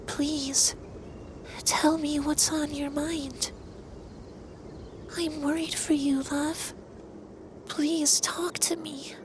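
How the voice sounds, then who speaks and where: A man's voice: extremely high pitch, heavily acted. A man voicing a centuries-old, androgynous male dragon in an intimate ASMR roleplay, speaking formally and earnestly as a stern but caring lover. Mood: worried, pleading. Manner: formal, earnest, deliberate phrasing. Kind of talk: ASMR roleplay, intimate scripted monologue addressed to listener. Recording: clear background noise with ambience.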